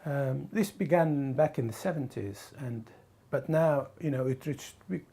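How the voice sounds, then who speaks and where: A man from the Middle East or North Africa talking casually and reflectively, haltingly searching for words. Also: medium pitch, creaky, mellow, husky. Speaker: documentary interviewee.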